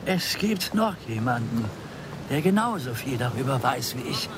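Actor as a solemn, dignified undertaker amid loud noise. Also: male voice, mid-range voice, big pitch swings, normal speed, lightly acted. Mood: guarded, weary. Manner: unctuous, solemn, formal, funeral-parlour politeness. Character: small, dignified undertaker about sixty, mild and evasive. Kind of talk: radio play dialogue.

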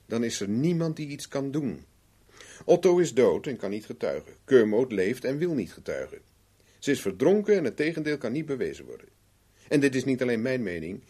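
An actor with a Netherlands Dutch accent playing a shrewd, self-assured private investigator in a 1970s radio play, measured, persuasive and knowing. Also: male voice, mid-range voice, mellow, breathy edge. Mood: firm, advising.

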